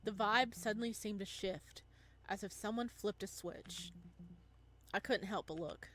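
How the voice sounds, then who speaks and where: A woman's voice: medium pitch, measured pace, a bit bright, close to an everyday voice. A woman reading the part of an anxious, worn-out young man who narrates his life in an introspective, hesitant way in a visual novel. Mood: awed, nervous.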